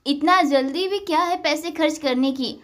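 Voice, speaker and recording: A woman's voice: normal speed, a bit bright. A woman doing a stingy village husband. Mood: stubborn. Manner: lowered pitch, miserly and defensive. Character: stingy middle-aged village husband, grumbling.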